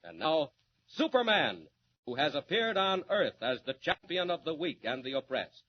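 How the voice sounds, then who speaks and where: A male announcer narrating a 1940s radio serial with dramatic, booming cliffhanger delivery. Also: big pitch swings, moderate pace, lo-fi sound, slight background noise.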